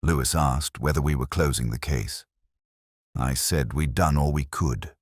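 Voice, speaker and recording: A man playing a weary, melancholy police inspector, narrating his case in a measured, literary, brooding way as a first-person detective story. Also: very deep voice, breathy edge, dry recording, clean studio sound, full character voice. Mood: sombre, reflective.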